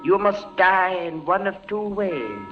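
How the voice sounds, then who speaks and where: An actor playing a stern, pitiless judge of the Inquisition, speaking gravely and with measured weight in 1940s radio style. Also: male voice, high-pitched, sing-song, heavily acted. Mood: menacing. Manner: grave, measured, pronouncing sentence. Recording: dull old radio broadcast with music.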